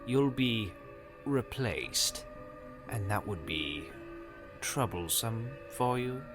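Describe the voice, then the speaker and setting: Villainous high cleric, lowish animated voice, pompous, exasperated, fantasy drama, music clearly behind. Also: male voice, slow pace. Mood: calculating. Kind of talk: dark fantasy audio drama acting.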